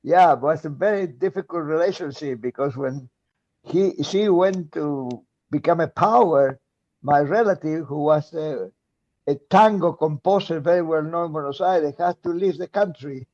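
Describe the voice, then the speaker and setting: A man of about ninety with an Argentine Spanish accent, speaking as a guest in a relaxed interview, halting with frequent pauses and fillers and chuckling often. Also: on the high side, highs cut off. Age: around 90. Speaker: guest, retired medical professor. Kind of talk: conversational interview.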